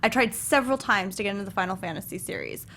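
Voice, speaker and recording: A woman's voice: medium pitch, lively intonation, quick pace, fairly bright tone, slight background noise. A woman chatting casually as co-host of a movie review show. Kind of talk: casual panel chat.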